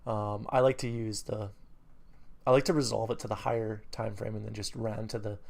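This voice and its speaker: A man with a New England accent and a gravelly edge, walking through a chart review in a loose, unscripted way. He trails off into fillers, corrects his own numbers, and perks up when a setup excites him. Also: fairly low pitch, moderate pace. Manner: rambling, many fillers and self-corrections; enthusiastic bursts. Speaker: trading educator. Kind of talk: screen-recorded trade review.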